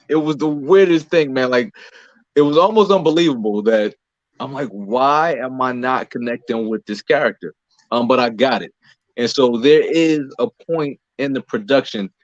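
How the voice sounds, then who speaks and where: An American man answering interview questions conversationally as a guest, explaining in a measured way with pauses between phrases. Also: medium pitch, no echo, dull audio. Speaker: playwright, director and actor interviewed as guest.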